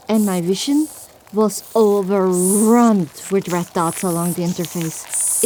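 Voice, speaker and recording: A woman's voice: a little high, warm tone, poor audio. A woman narrating an audio drama in the first person with a dramatic, scripted delivery.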